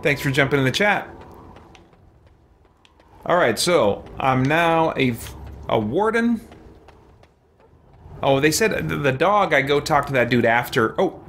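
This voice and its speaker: A man's voice: a little high, lively intonation, unhurried, long pauses, fairly bright tone, a little hiss or hum. A middle-aged man giving casual live gameplay commentary, musing aloud as he makes choices. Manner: musing, thinking aloud while choosing. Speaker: game streamer. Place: indoor room with shelves, streaming setup.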